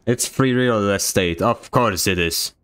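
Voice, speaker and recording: A man's voice: fairly low pitch, measured pace, a bit bright, smooth. A young man from Poland with a Polish accent, giving joking, sarcastic commentary while streaming a game. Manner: joking, sarcastic, mock-indignant. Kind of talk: casual gameplay commentary.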